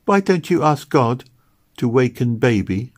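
A low-voiced man doing a small gentle boy, pitched up above his own voice, soft and trusting in a storybook reading. The words come simple and unhurried. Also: lively intonation.

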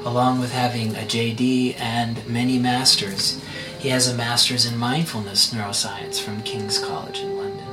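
A man hosting a podcast interview, friendly and quick to laugh, hesitant with fillers when asking questions. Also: medium pitch, level tone, low-quality recording. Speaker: podcast host. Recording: music playing behind.

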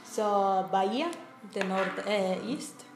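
A woman from Switzerland, chatting in halting English with many fillers as the one being interviewed. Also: mid-range voice, faint music behind. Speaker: interviewee, traveller. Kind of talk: casual chat in learner English. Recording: echoey room with noise behind.

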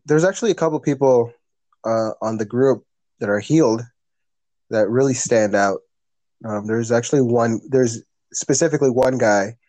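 A man's voice: mid-range voice, a little mellow, no room sound. A man, talking earnestly as a podcast guest in a rambling, casual way with many fillers. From Canada. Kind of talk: casual conversation.

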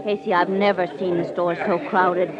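A woman's voice: on the low side, level tone, crowd noise, lightly acted. An actress playing a sensible woman who dryly teases her companion in a 1940s radio drama. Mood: brisk, teasing. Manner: brisk, dryly teasing. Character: sensible, teasing female companion of a crime photographer. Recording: dull old radio recording with music.

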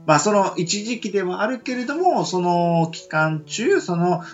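A man's voice: high-pitched, lively intonation, a little slow, dull audio, soft background music. A man co-hosting a casual radio chat, rambling with many fillers. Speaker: radio show co-host.

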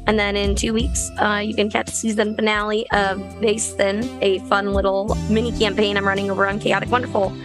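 A woman player introducing herself in a quick, matter-of-fact way. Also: mid-range voice, little pitch movement, over music.

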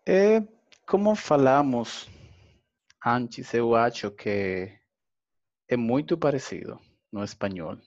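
Man, from the Dominican Republic, slow, hesitant, lo-fi sound. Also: medium pitch, lively intonation. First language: Spanish. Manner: careful, hesitant learner speech with fillers. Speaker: language student. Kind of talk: interview answers.

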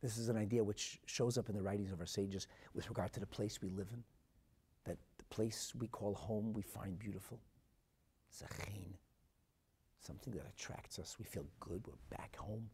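A man with a North American accent giving a religious sermon as a rabbi, emphatic and dramatic, phrasing deliberately and repeating for effect. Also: on the low side, steady tone, slightly rough. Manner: emphatic and dramatic, swinging from hushed asides to loud declarations; deliberate phrasing with rhetorical repetition. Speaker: rabbi and Torah teacher.

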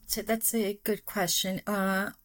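Guest: brazilian woman, native portuguese, mid pitch, careful and hesitant, dry room. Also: level tone, slow, fairly bright tone, no room sound. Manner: careful, many fillers and self-corrections. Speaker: neuroscientist guest. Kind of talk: casual interview talk.

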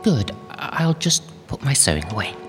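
A woman acting in an audio drama as a gentle, devout older recluse who speaks in a measured, motherly, soothing way. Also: extremely low pitch, very animated, music in the background. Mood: warmly welcoming. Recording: reverberant hall, faint background noise.

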